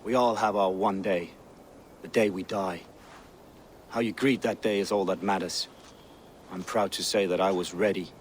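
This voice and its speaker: A man playing a fatalistic, weathered Viking warrior in a video game, speaking in a solemn, measured way. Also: deep voice, steady tone, slight background noise, lightly acted. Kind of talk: dramatic video game acting.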